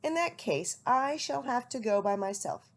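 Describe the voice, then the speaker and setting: Brief: unhurried dad owl, read by a woman, plain and dry, a gravelly edge. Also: a little high, lively intonation. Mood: matter-of-fact. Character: calm, dry father barn owl.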